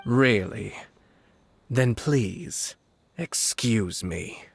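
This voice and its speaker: An actor playing a composed, calculating young noble commissioner in an anime video game, speaking in a measured, formal manner. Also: male voice, lowish voice, vocal fry, husky, exaggerated voice. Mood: cold, commanding. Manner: measured, formal, calm and authoritative. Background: soft game music.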